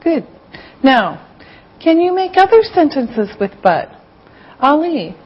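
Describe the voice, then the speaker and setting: A woman's voice: high-pitched, big pitch swings, slow, exaggerated voice. A woman playing an encouraging English teacher, giving carefully enunciated classroom instructions and dictation. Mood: patient, instructive. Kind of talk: scripted classroom lesson with dictation. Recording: slightly dull lo-fi audio.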